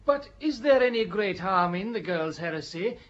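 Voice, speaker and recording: An actor playing a gentle young male monk who questions the court in a soft, pleading way. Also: high-pitched, expressive, moderate pace, lo-fi sound. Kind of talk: audio drama acting.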